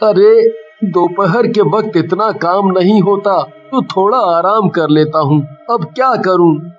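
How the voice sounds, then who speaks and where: A man playing a humble, earnest village street-food seller and husband in an animated story. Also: high-pitched, slow, highs cut off, faint music behind. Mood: earnest, worried.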